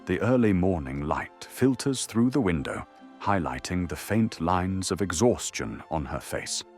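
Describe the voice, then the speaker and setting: A man's voice: sits low, big pitch swings, unhurried, faint music behind. A man narrating an audio drama in a dramatic, scripted storytelling style.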